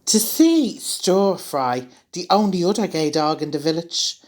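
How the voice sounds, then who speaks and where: A woman's voice: on the low side, animated, a little slow, noisy background. An actress playing a camp, gushing, gossipy male houseguest in a comedy radio drama. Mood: polite, camp. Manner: camp, effusive.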